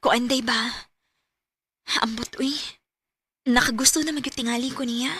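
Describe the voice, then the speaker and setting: Radio drama role: shy married Cebuano Filipino woman, high and slightly husky, coy and hesitant. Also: fairly even intonation, a little slow, bright, forceful tone, heavily acted. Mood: coy, uneasy. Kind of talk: radio drama acting in Bisaya.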